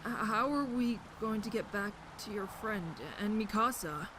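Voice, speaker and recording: A woman's voice: medium pitch, unhurried, noisy background, close to an everyday voice. Woman acting an earnest, nervous brainy teenage boy. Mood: anxious. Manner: hesitant, eager. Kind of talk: audio drama acting.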